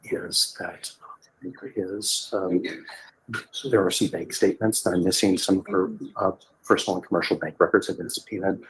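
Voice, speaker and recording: A man speaking as defense attorney in a courtroom, measured and explanatory, with some fillers. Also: sits low, steady tone, slightly rough, low-quality recording. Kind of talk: courtroom status report.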